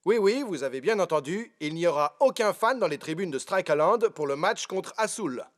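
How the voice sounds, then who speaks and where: A man's voice: high-pitched, lively intonation, normal speed. A man voicing an emphatic television sports reporter in a French cartoon, announcing the news.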